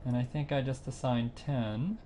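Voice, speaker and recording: A man narrating a screencast tutorial, explaining a music training website step by step in a plain, unhurried way with occasional fillers. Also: medium pitch, fairly even intonation, warm tone, slight background noise. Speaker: site instructor demonstrating software.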